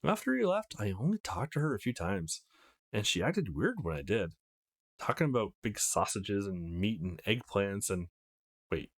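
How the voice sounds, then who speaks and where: A man's voice: lowish voice, sing-song, normal speed, no room sound, studio quality, pitched below the performer's own voice. A man voicing an easygoing young male protagonist of a visual novel, casual and wry. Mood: puzzled. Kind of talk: acted visual novel read-aloud.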